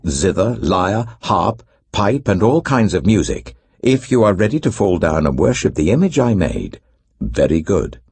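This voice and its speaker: British man as a gravelly, imperious king. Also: deep voice, darkish tone, no echo, heavily acted. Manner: imperious, deliberate, threatening. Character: proud, menacing male king of ancient Babylon.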